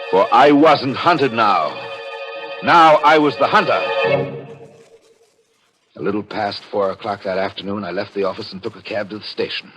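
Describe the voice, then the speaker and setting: A man's voice: fairly low pitch, lightly acted. An actor playing a bitter, scheming husband who narrates his revenge in brooding, dramatic 1940s radio thriller style. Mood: brooding, vengeful. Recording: old radio recording with music behind.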